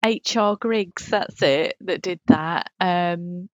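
Dry-sounding woman, lowish voice. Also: slow, no echo, muffled recording. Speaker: podcast co-host. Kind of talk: casual chat.

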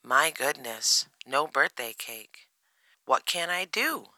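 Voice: mid-range voice, sing-song, slow pace, sharp tone, no echo, full character voice, rough recording. A narrator voicing a cheerful, eager little boy bear cub in a children's storybook. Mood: eager, planning. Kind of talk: children's storybook character reading.

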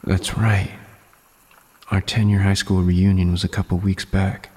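An actor playing a weary, withdrawn young man who narrates his thoughts in an audio drama, dry and husky. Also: deep voice, level tone, a little slow, a little mellow. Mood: wary, musing. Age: young adult. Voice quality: gravelly, husky. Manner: dry, understated. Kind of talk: audio drama inner monologue.

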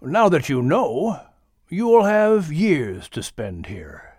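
A man voicing a very old, patient great-grandfather who speaks slowly and solemnly in an audiobook story. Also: on the high side, huge pitch swings, a little mellow, breathy edge, no room sound, clean studio sound, pitched above the performer's own voice, heavily acted. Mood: gentle. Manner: slow, deliberate, solemn.

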